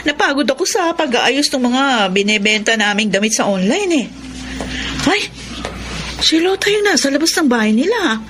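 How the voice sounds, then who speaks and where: A woman's voice: high-pitched, very animated, moderate pace, a bit bright, slight background noise. A woman with a Filipino accent acting a sensible, industrious wife and neighbour in a radio drama. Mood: friendly.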